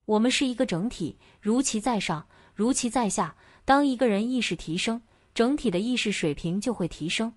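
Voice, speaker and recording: A woman's voice: fairly high pitch, animated, normal speed, hardly any pauses, no room sound, studio quality. A woman reading scripted narration for a documentary.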